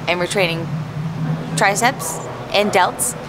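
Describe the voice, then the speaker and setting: A woman in her thirties chatting excitedly to a handheld camera, at a pool and then in a gym at night. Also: medium pitch, slow pace, very noisy. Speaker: bodybuilding vlogger. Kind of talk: casual vlog to camera. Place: poolside outdoors, then large gym at night. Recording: music playing behind.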